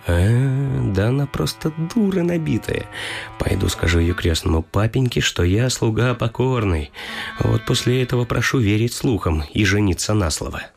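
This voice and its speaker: Courtly, easily exasperated gentleman suitor. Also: medium pitch, expressive, moderate pace, creaky, faint music behind. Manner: courtly, mannered, with muttered asides. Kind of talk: stage vaudeville radio play acting. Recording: musical backing in a radio play.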